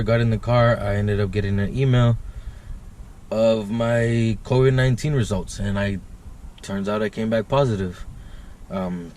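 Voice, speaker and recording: Man, fishing vlogger, relaxed rambling delivery; lowish warm tone. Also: slight background noise. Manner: laid-back, rambling, says "guys" often. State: congested, stuffed sinuses. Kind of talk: casual vlog talk to camera. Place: outdoors by a river.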